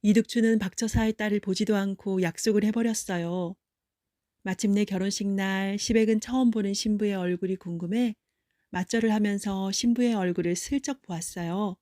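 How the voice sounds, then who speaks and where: Woman reading kids folktales, storybook phrasing, medium pitch, really dry close sound. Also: fairly even intonation, brisk, dark tone, no echo. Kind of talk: children's folktale audiobook narration.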